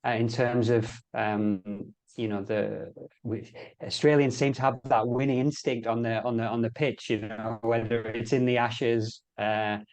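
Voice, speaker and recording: A man's voice: medium pitch, level tone, measured pace, few pauses, a little mellow. English man chatting, hesitant phrasing. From England. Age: middle-aged. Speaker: interview guest in sport and health sector. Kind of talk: casual chat.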